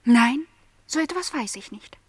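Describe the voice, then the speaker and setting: An actress playing a naive, earnest young housemaid in a radio drama, plain-spoken and politely sceptical. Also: female voice, sits high, animated, unhurried, husky, no echo, exaggerated voice. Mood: guarded, plain. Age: young adult. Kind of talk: literary radio drama dialogue.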